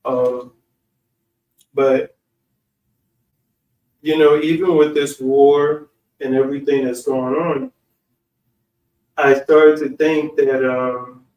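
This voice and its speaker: An American man telling personal stories casually, with an informal, slangy and halting delivery. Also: medium pitch, fairly even intonation, darkish tone, lo-fi sound. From United States. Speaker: online speaker and channel host. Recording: echoey room, distant from mic.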